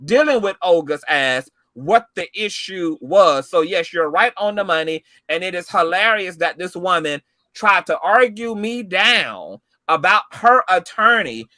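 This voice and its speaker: A man's voice: high-pitched, lively intonation, unhurried, bright, forceful tone, dry recording. A man in his late thirties hosting a call-in talk show, chatting in a sassy, bantering way with callers. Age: 30s. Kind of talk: casual chat.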